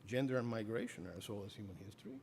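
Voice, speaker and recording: A middle-aged man giving an academic lecture as a professor, halting with many fillers and restarts. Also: lowish voice, huge pitch swings, vocal fry, background noise. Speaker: professor giving a keynote address. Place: lecture hall. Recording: reverberant hall, faint ambience.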